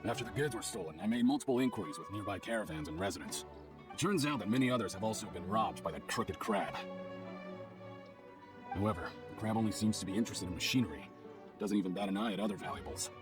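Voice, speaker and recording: A man's voice: fairly low pitch, animated, fast, close to an everyday voice. A man voicing a businesslike investigator in a video game, giving a factual, explanatory report. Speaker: voice actor in game dialogue. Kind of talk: scripted video game voice acting. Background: game background music.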